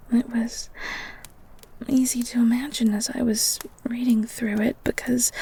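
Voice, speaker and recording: A woman playing a shy, bookish young woman in a romantic audio roleplay, stammering and halting in a soft, breathy voice. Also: a little high, exaggerated voice. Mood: dreamy, shy. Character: shy, flustered young bookish woman living in a beast's castle.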